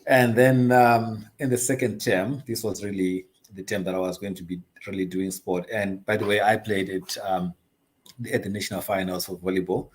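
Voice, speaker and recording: Deep-voiced man from Zimbabwe. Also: level tone, moderate pace. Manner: hesitant, many ums and uhs, laughs easily. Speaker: guest, investment advisory CEO. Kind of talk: casual interview storytelling.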